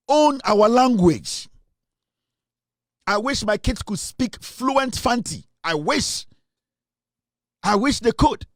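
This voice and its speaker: Ghanaian guy ranting on his channel, highish voice, keeps repeating himself to hammer it in. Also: dry recording, clean studio sound. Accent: Ghanaian English. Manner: emphatic, repeats points for stress. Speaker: online commentator. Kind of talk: opinionated commentary monologue.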